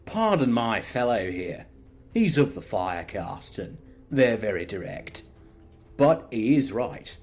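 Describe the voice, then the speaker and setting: A sinister alien diplomat, acted by a man for a sci-fi audio drama. His voice is husky and very animated in pitch. He stays courteous and condescending, dressing each threat as kindly concern. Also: heavily acted. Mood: placating, smug. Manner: courteous, measured, veiled threats. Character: polite, smugly menacing male alien diplomat, condescending.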